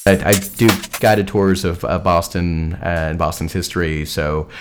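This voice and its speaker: Deep-voiced man chatting casually. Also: loud music behind the voice. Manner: relaxed, halting with many fillers. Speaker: podcast guest host. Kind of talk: casual chat.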